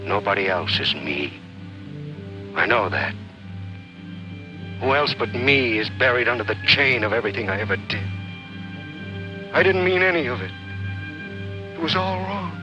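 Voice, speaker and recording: An actor playing a bitter, doomed soldier who rambles to himself in self-mocking mutters, in an old film drama. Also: male voice, mid-range voice, sing-song, moderate pace, long pauses, muffled recording, over music, close to an everyday voice. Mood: anguished, bitter. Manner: muttering, self-mocking, swinging interior monologue.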